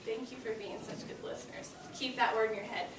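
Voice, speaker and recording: A woman's voice: fairly high pitch, fairly even intonation, a little fast. A woman teaching young children in an interactive read-aloud lesson, addressing them directly with short prompts and questions. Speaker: elementary school teacher. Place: classroom. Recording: roomy, distant mic with background noise.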